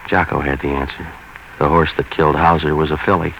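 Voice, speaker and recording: Very deep-voiced hard-boiled radio detective. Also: male voice, a little fast. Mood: dry, matter-of-fact. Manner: terse, slangy, deadpan. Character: hard-boiled male private investigator, world-weary and cynical. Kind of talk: 1940s radio noir narration. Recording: muffled, hissy 1940s radio recording.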